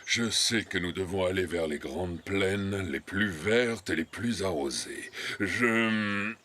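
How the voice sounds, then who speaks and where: Very deep, weary old bison. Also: male voice, a little hiss or hum, exaggerated voice. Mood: earnest. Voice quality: deep, heavy voice. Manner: solemn, halting. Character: old male bison scout, weary, earnest, self-doubting. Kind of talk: dubbed cartoon acting. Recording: loud music under dialogue.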